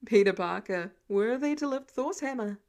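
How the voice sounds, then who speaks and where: A woman's voice: a little high, animated, normal speed, a little mellow. A woman voicing a headstrong, protective teenage boy with a dry, teasing manner in a story reading. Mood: smug. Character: Harry, protective, smug, teasing teenage boy best friend.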